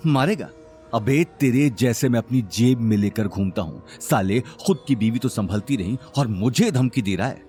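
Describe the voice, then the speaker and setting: A man's voice: mid-range voice, normal speed, warm tone, soft background music, lightly acted. A man voicing an arrogant, spiteful young businessman in an audio drama, taunting and sneering at his rivals. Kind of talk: Hindi audio drama acting.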